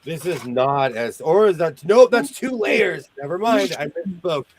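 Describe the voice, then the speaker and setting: Guy hosting a sewing stream, kinda high voice, jokey and rambly, trails off a lot. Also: expressive, unhurried. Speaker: sewing show host. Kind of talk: casual chat while sewing.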